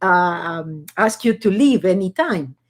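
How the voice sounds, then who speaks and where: A woman's voice: fairly low pitch, animated, measured pace. A woman from Argentina with an Argentine Spanish accent, a guest author chatting in an interview and telling personal stories with fillers and laughs. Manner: warm storytelling with frequent laughs and fillers. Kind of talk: casual interview chat.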